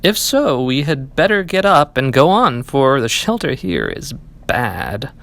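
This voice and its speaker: A man's voice: medium pitch, very animated, measured pace, creaky, a bit bright, full character voice. A man voicing a big, friendly, confident donkey in a storybook, hearty and persuasive. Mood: eager. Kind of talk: storybook character reading.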